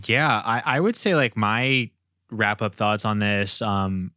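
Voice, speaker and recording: A man's voice: fairly low pitch, takes it slowly, pushed and bright, dry recording, studio quality. A man hosting a casual podcast, talking in a joking, mock-earnest way. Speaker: podcast host and producer.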